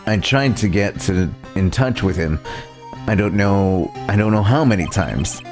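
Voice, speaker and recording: A man voicing an earnest, loyal young male defense attorney from a video game, reading a little haltingly. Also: on the low side, expressive. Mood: earnest, determined. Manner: halting, stumbling over lines. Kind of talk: reading visual novel game dialogue aloud. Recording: music playing behind the voice.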